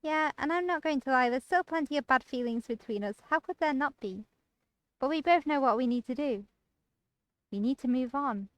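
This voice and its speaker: A woman's voice: sits high, normal speed, no echo, lightly acted. A woman voicing a caring, forthright young female friend in a visual novel, earnest and pleading. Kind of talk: visual novel read aloud. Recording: music behind the voice.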